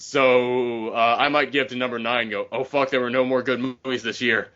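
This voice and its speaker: A man's voice: mid-range voice, steady tone, brisk, pushed and bright. A man with a middle-range voice talks casually on a film podcast. He rambles and qualifies his points as he goes. The sound is dull and lo-fi, like an online call. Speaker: podcast member discussing films. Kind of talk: casual panel chat.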